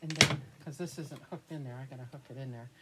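A woman's voice: very deep voice, steady tone, fast talker, fairly bright tone. An American woman in her fifties chatting casually through a craft show-and-tell, thinking aloud and trailing off mid-sentence. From United States. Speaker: craft vlogger. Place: small cluttered indoor craft room. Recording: clear room noise, handling clicks.

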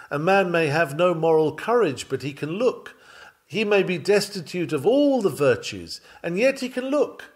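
A man reading a sermon aloud in an earnest, oratorical way, with emphatic, dramatic phrasing. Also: mid-range voice. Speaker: reader of a historical sermon.

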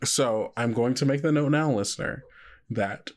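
A man narrating as game master in casual, improvised speech, thinking aloud in a halting way. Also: mid-range voice.

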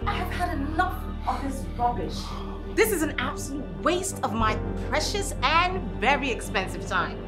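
A woman's voice: high voice, expressive, moderate pace, roomy sound, over music. A woman playing a haughty, impatient investor. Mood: indignant. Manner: imperious, dismissive.

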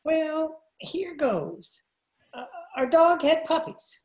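A man's voice: very high voice, lively intonation, takes it slowly, full character voice. An older man playing a timid, mild-mannered radio director in an amateur old-time radio comedy. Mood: bashful. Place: living room by staircase, video call. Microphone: video call.

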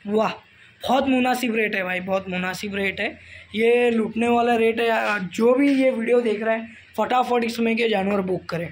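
A man's voice: extremely high pitch, steady tone, normal speed. An Indian man presenting livestock for sale in a casual, enthusiastic, repetitive way, like a video host. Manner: enthusiastic, repetitive, echoes what the seller says. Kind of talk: casual sales presentation and interviewing. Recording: handheld phone video with background noise.

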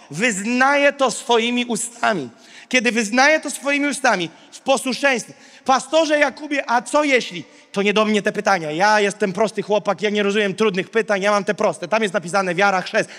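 A man in his thirties, a pastor preaching emphatically to a large congregation in short, repeated phrases with dramatic pauses. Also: sits high, fairly bright tone. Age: 30s. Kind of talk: sermon to a large live congregation. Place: large indoor auditorium stage. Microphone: handheld microphone. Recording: big reverberant hall PA sound.

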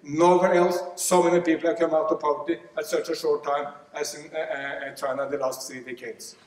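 A man's voice: on the high side, flat delivery, a little fast, a little mellow. Norwegian-accented man in a reverberant hall. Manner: gesturing broadly, earnest, with frequent fillers and restarts. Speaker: keynote speaker. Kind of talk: conference keynote speech. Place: large lecture hall. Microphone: podium microphone, filmed from afar. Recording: echoey hall from far off.

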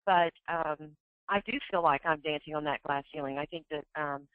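A woman's voice: low-pitched, fairly even intonation, moderate pace, smooth, dry recording. A woman answering as an interview guest in a conversational style over the phone. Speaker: interview guest, women's leadership mentor. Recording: muffled phone line.

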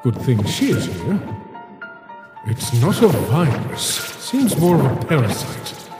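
A man voicing an eerie, disdainful phantom scientist in an animated comic dub, speaking in a deliberate, clinical way. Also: medium pitch. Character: eerie, dry-witted male phantom scientist companion. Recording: echoing reverb effect, music behind.

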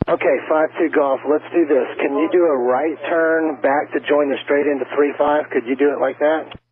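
A man's voice: fairly high pitch, fairly even intonation, moderate pace, narrow, tinny audio, crowd noise. An American man working as an air traffic controller, giving informal, reassuring instructions over the radio. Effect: radio transmission.

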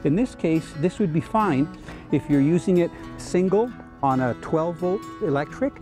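A middle-aged man from Canada hosts a fishing show from a boat outdoors, speaking through a lapel mic. His voice sits a little high for a man but sounds mellow and soft. He explains things patiently and with enthusiasm. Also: expressive, normal speed, faint music behind.

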